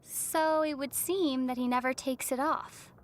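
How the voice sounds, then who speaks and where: A woman's voice: high-pitched, fairly even intonation, measured pace, noisy background. A woman playing a young, sensible traveler girl in a video game, with a wry, teasing manner. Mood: curious. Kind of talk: video game character dialogue. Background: music.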